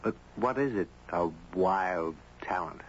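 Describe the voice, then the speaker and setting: An actor playing a reflective anthropologist, narrating in a measured, earnest way in a 1970s radio drama. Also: male voice, deep voice, slight background noise. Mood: entranced, puzzled. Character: reflective male anthropologist recounting a strange tale. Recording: dull old radio recording with music.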